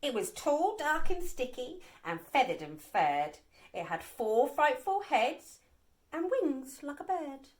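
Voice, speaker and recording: A woman reading a children's bedtime story aloud in an animated, rhythmic, dramatic way. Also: high voice, takes it slowly. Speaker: nursery staff member reading a story.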